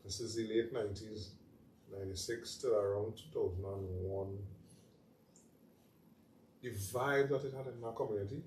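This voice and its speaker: A man's voice: fairly low pitch, fairly even intonation, normal speed, pauses often, a little mellow. A middle-aged man with a Trinidadian accent, chatting as host in a loose, reflective, repetitive way. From Trinidad and Tobago. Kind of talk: casual chat. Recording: roomy sound, mic at a distance, faint noise.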